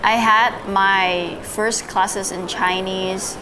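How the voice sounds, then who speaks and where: A young woman from Vietnam, a native Vietnamese speaker, telling her story as a podcast guest in a relaxed way with fillers and soft laughs. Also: mid-range voice, takes it slowly. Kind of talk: casual interview, recounting her story. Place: live-streamed online call. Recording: audible background noise.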